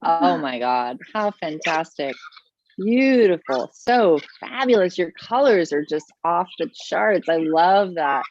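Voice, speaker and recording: A woman's voice: fairly low pitch, animated, takes it slowly, muffled recording, a little hiss or hum. A woman teaching art over a video call, effusive and full of praise, often restarting her sentences. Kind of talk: warm, gushing teaching chat.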